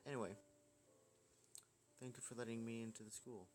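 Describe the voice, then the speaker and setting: A man's voice: mid-range voice, fairly even intonation, moderate pace, a little mellow, close to an everyday voice. Man reading as a breezy teasing young woman, music. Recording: music behind the voice.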